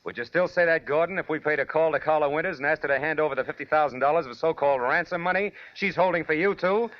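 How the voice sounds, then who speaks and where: An actor playing a wry, weary private detective in a 1940s radio noir drama, with dry, deliberate, sardonic delivery. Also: male voice, a little high, muffled recording, natural voice. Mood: confident, accusing. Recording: band-limited 1940s radio recording with music.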